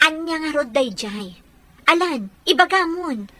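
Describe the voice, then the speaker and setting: A woman's voice: high-pitched, lively intonation, unhurried, sharp tone, exaggerated voice. A woman playing an earnest, persistent young girlfriend in an audio drama, insistent in how she speaks. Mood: suspicious, insistent. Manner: insistent, probing.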